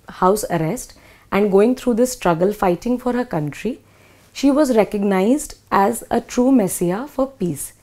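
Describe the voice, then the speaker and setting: A woman in her thirties with an Indian English accent, clearly and methodically explaining a school English lesson. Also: medium pitch, mellow. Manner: clear, methodical, spells words out. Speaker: English teacher. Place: small studio in front of a whiteboard.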